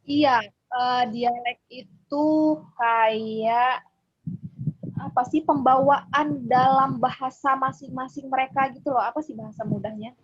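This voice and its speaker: Woman, religious teacher, husky slightly high voice, conversational explaining, checks for agreement, dull lo-fi audio. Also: steady tone, measured pace. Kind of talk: informal explanatory online lesson.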